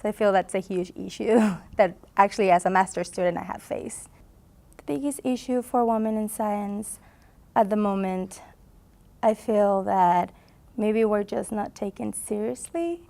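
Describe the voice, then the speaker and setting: A woman's voice: mid-range voice, unhurried, gravelly, warm tone. A woman in her twenties from Honduras, a graduate student answering interview questions in a conference booth, earnest with frequent laughs and fillers.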